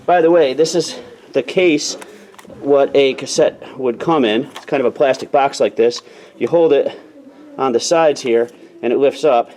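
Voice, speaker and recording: A man's voice: mid-range voice, a little mellow, a little hiss or hum. An American man giving a casual hands-on demonstration as an instructor, explaining plainly in small steps and checking in as he goes.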